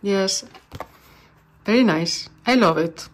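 A woman reads tarot cards at a table indoors, speaking off-camera. She talks warmly and reassuringly, in a mid-range voice, and pauses to search for English words. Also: mid-range voice. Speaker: tarot reader. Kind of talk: channeled tarot reading.

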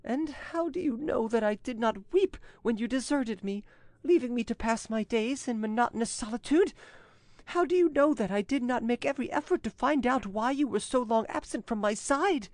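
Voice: mid-range voice, expressive, normal speed, a little mellow, no echo, pitched above the performer's own voice, lightly acted. A narrator voicing a frightened young Frenchwoman who pleads with theatrical, imploring urgency in an audiobook story. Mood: frightened pleading.

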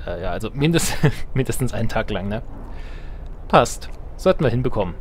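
A man's voice: fairly low pitch, big pitch swings, fairly quick, slight background noise. A man hosting a let's play, commenting casually on the game with self-ironic, playful asides and reading game text aloud. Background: game music.

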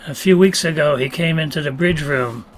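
A man in his late seventies tells a brief funny story over a video call from his home. His voice is a touch high, and he stretches out key phrases to build the punchline. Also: a little hiss or hum, low-quality recording. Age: 70s. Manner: storyteller, slows for effect. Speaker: club member telling a story. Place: home room.

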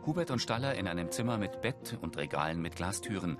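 A man's voice: sits low, big pitch swings, fast, music in the background. A man reading scripted audio description narration for a TV film.

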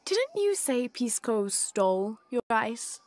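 A woman's voice: a little high, big pitch swings, takes it slowly, a little mellow, dry recording. A young woman playing an earnest, determined adventurer in a video game, naturalistic and restrained. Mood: calm, friendly.

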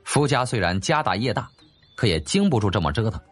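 A man reading a novel as scripted audiobook narration in an animated, dramatic way. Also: on the low side, brisk, soft background music.

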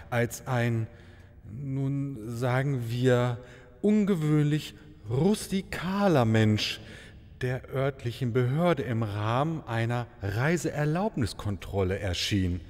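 A man's voice: medium pitch, lively intonation, slow, darkish tone. A man playing a courteous, dignified travelling maharaja in a fairy-tale audio comedy, speaking in formal, flowery euphemisms. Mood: delicate, embarrassed. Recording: long reverberant hall sound.

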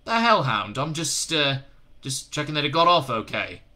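A man's voice: on the high side, big pitch swings, moderate pace, sharp tone, heavily acted. A man voicing a slick male demon who is dry and sarcastic, blustering when caught out. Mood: flustered.

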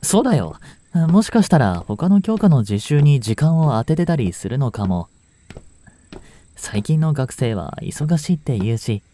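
Casting: male actor for a gentle, brooding male teacher in an audio drama; very mellow, unhurried, soft-spoken, sighing delivery. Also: medium pitch, very animated, a little hiss or hum. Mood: dejected, musing. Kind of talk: Japanese audio drama monologue, intimate acting.

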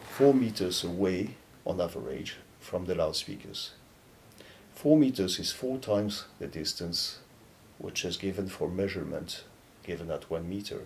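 A man in his fifties giving a measured, halting explanatory product talk to camera, pausing between phrases. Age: 50s. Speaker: loudspeaker company presenter. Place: dark indoor studio or demo room, seated. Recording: low rumbling background noise.

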